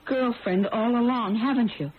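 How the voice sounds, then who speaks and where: An actress playing a mild, lovelorn woman turned jealous avenger, speaking earnestly and deliberately in radio drama style. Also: fairly high pitch, natural voice. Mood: accusing. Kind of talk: old-time radio drama acting. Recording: noisy, tinny old radio recording.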